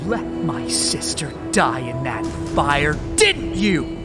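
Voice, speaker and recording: An actor playing a bitter, accusing knight captain who sneers theatrically in a fantasy audio drama. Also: male voice, fairly high pitch, very animated, takes it slowly, loud music behind the voice. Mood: mocking, accusing.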